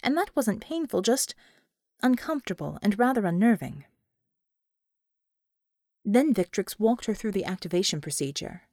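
Female audiobook narrator, medium pitch, lively intonation, dramatic storytelling, dry close recording. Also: normal speed, pauses often, no room sound. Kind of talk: scripted dramatic fiction narration.

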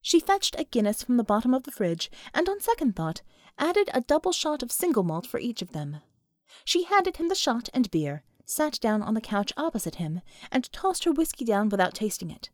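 A woman narrating an audiobook story in an expressive, scripted storytelling style. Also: on the high side, moderate pace, no echo, clean studio sound.

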